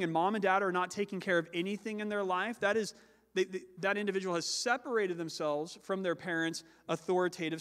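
High-voiced man preaching in a reverberant hall. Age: adult with children. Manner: emphatic, slows down to stress key words; loud, carrying voice. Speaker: pastor. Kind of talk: sermon.

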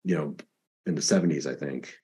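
A man's voice: extremely low pitch, a little fast, warm tone, slightly rough. A man hosting a podcast, chatting in an easygoing way with plenty of fillers. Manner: easygoing, lots of fillers and backchannels. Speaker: podcast host. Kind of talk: casual interview chat.

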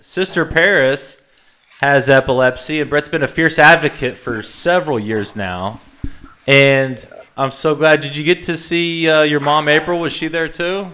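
A man's voice: fairly high pitch, measured pace, sharp tone. A man hosting a podcast, upbeat and playful, reading out a guest introduction. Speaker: podcast host.

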